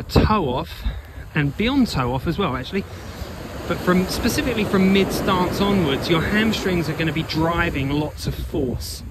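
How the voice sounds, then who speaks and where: A man with a fairly high voice talks as a coach in an unscripted walking vlog. He is instructive and emphatic, often repeating a phrase as he works through an explanation. Clear ambient noise from an open beach sits behind his voice. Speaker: running coach and blogger. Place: outdoors on an open sandy beach. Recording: noisy outdoor beach ambience.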